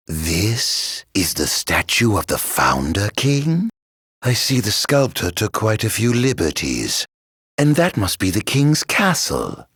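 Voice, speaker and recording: Casting: flamboyant, cruel game villain, mock-courteous and very unhurried, with a gravelly creak and theatrical drawl. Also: male voice, very deep voice, fairly bright tone, no room sound, studio quality, exaggerated voice. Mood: sly amusement. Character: theatrical, mocking, silver-tongued male villain with a cruel streak. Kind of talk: theatrical video game voice acting.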